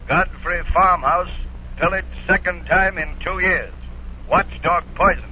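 Sharp, forceful police bulletin announcer. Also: male voice, high-pitched, steady tone, a little slow, heavily acted. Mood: urgent. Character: urgent, clipped male police bulletin announcer. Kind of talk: 1940s radio acting, terse bulletin. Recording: muffled, noisy old radio recording.

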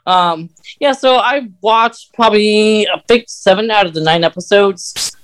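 High-pitched man chatting on a podcast. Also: measured pace, a bit bright, clear, resonant tone. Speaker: podcast host. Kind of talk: casual fan podcast chat.

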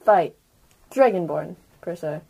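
A woman improvising in character as a player in a tabletop role-playing game, brisk and no-nonsense. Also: lowish voice, lively intonation, creaky, mellow, slight background noise, rough recording.

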